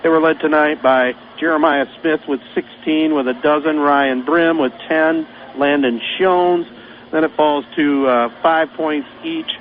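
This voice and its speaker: A man with a Michigan accent calling high school basketball play-by-play on local radio, in a brisk running call of the action. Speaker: play-by-play announcer. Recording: tinny phone-line radio broadcast with noise.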